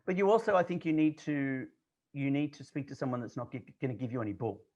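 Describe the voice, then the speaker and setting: A man's voice: mid-range voice, fast talker, darkish tone, lo-fi sound. Blunt direct australian coach in his 40s, chatty, lots of fillers. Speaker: confidence coach. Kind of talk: casual interview chat.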